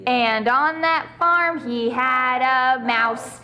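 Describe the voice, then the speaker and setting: High-voiced woman singing for children. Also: very slow, highs cut off. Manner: playful, imitating animal noises. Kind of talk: playful children's singalong. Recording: music behind the voice. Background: music.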